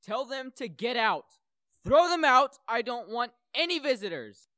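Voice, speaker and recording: A man's voice: very high-pitched, big pitch swings, measured pace, pushed and bright, no room sound, clean studio sound, full character voice. A teenage male student actor playing a furious, reclusive widowed master of the house in a radio play, curt and commanding. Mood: irritated, commanding.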